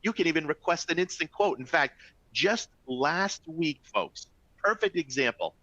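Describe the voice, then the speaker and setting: A middle-aged man with a Boston accent gives a friendly sales webinar, speaking in short bursts and addressing listeners as folks. His voice is bright and forceful. The audio is dry and a little dull, like a webinar feed. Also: lively intonation, normal speed, no room sound. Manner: upbeat, chatty sales pitch with frequent "all right?" and "folks". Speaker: travel company executive presenting a webinar. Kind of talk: training webinar presentation.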